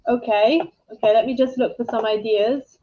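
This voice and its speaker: A woman chatting as an online English tutor on a video call, friendly and quick to laugh. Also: fairly high pitch, fairly even intonation, phone-quality sound, slight background noise. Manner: friendly, laughs easily, hesitant fillers. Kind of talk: casual video-call chat.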